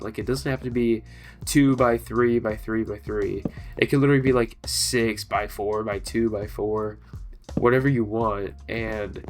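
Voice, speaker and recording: A man with a stuffy, congested nose from allergies, casually walking through a building tutorial over gameplay, with relaxed, chatty instructions. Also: medium pitch. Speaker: gaming video creator.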